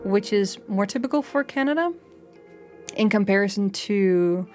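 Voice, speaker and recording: A woman's voice: mid-range voice, expressive, moderate pace, soft background music. A young Danish woman with a light Danish-tinged English accent, a streamer rambling casually with lots of fillers and restarts. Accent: light Danish, mixed English accents. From Denmark. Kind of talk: casual chat with live chat.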